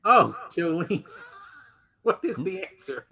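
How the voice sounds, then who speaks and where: A man with a high, very bright voice hosts a game show. He talks off the cuff and jokes with the players as he moves things along. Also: big pitch swings, slow, vocal fry, breathy edge. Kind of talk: unscripted, joking game show hosting.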